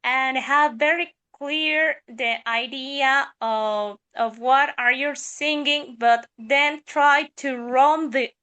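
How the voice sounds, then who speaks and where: Woman soprano, high voice, very slow, bright, hesitant and thoughtful. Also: steady tone. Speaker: soprano singer. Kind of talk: podcast discussion of singing technique.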